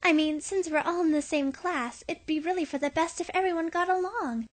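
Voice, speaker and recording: A woman voicing a sweet, earnest teenage schoolgirl in an anime fan dub, polite and gently persuasive. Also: extremely high pitch, a little fast. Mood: sweet, coaxing.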